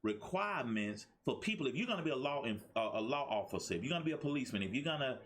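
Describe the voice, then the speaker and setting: A man's voice: medium pitch, expressive, very fast, fairly bright tone. A man hosting a talk show interview, encouraging his guest with frequent affirmations.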